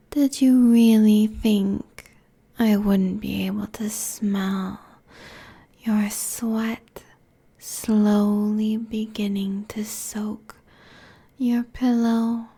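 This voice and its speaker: A woman's voice: medium pitch, warm tone, breathy edge, full character voice. A woman playing an ancient, seductive and menacing succubus demon queen in an ASMR roleplay, whispering breathily and taunting with haughty deliberation into a close binaural mic. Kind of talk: ASMR roleplay audio drama. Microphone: close binaural microphone.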